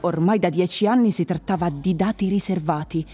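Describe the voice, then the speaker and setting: A woman narrator reading literary prose for a radio drama in a measured storytelling manner. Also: on the low side, mellow, breathy edge, faint music behind.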